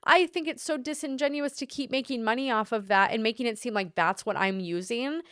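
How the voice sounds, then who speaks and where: A woman's voice: on the high side, brisk, fairly bright tone, dry recording, clean studio sound. A woman wedding photographer co-hosting a casual podcast, talking bluntly and swearing freely as she gives her opinions.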